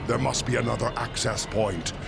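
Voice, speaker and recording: An actor playing a ruthless, arrogant robot warlord commander in a video game, imperious and menacing. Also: male voice, medium pitch, steady tone, normal speed, gravelly. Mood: cold command. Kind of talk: dramatic video game voice acting. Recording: echoey, loud music behind.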